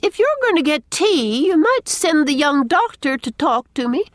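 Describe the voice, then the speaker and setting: Woman acting gossipy village spinster, swooping pitch. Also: big pitch swings, moderate pace, dry recording, studio quality. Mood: brisk, knowing. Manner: emphatic, folksy, tart. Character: brisk, opinionated, gossipy middle-aged village spinster.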